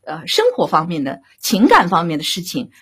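Female director, live discussion. Heavily husky, lively intonation, pauses to find her words. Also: lowish voice. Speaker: radio drama director.

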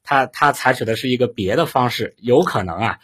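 A man giving conversational analysis as a panel commentator, explaining haltingly and repeating short affirmations. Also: medium pitch, a bit bright, breathy edge.

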